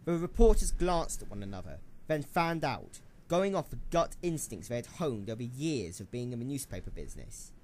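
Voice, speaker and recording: A man narrates a story from a script as for a radio play. His pitch is average for a male, and it moves in a lively, animated way from phrase to phrase. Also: normal speed, hardly any pauses, slight background noise.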